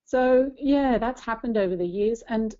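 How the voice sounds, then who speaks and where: Woman, late 40s, soft dark tone, earnest personal talk, slightly muffled recording. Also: fairly high pitch, unhurried. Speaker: church ministry leader speaking as co-presenter.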